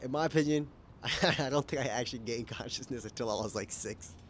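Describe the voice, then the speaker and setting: A man's voice: mid-range voice, a little fast, slight background noise. A young man from Kenya with an American accent, talking casually to camera on a rooftop, rambling and correcting himself as he goes. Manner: rambling, self-correcting, laughs often. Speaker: vlogger. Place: rooftop outdoors.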